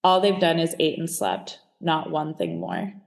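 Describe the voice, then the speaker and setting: A man's voice: a little high, very animated, a little slow, creaky, full character voice. A man voicing a grumbling Second World War serviceman reading out his diary in clipped phrases. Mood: exasperated.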